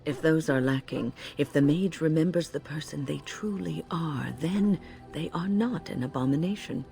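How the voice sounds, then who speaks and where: A woman playing an earnest, thoughtful mage in a video game, speaking in a measured, grave and reflective way. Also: low voice, gravelly, mellow, faint music behind. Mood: pensive. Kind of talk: video game dialogue acting.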